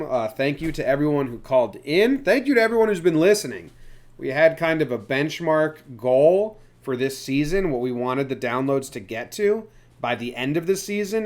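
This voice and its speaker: A man's voice: a little high, darkish tone. Casual male sports podcast host.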